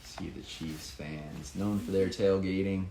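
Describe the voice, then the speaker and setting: A man's voice: low-pitched, fairly even intonation, a little slow, vocal fry, dark tone. A man, a children's book author, reading a rhyming picture book aloud with dramatic emphasis and informal asides. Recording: echoey room, faint background noise.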